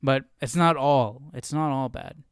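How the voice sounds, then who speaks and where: A man's voice: medium pitch, measured pace, smooth, no echo, clean studio sound. A man hosting a Bible study livestream, talking casually and off the cuff, searching for words and stretching phrases for emphasis. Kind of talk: casual unscripted commentary.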